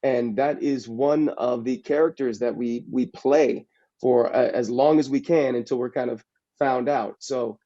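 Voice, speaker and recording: A man from the Bay Area talks things through on a panel, pausing often and feeling his way toward each point. His voice is mellow and warm, at an ordinary pitch. The video-call audio comes through dull and lo-fi. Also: fairly even intonation. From San Francisco Bay Area. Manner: halting, reflective, searching for words. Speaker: capoeira practitioner and actor on a panel. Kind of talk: casual panel discussion.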